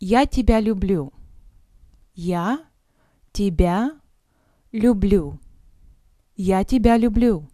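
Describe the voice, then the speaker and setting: A woman's voice: medium pitch, extremely slow, long pauses, dark tone, dry recording, clean studio sound. A woman teaching vocabulary in a drill, saying each phrase with careful enunciation, then breaking it up word by word and repeating it. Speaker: language teacher.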